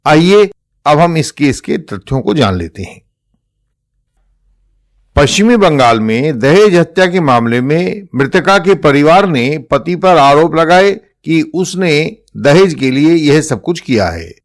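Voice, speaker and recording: Indian male legal explainer, slightly raised pitch, measured formal delivery. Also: expressive. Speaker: presenter explaining court judgments.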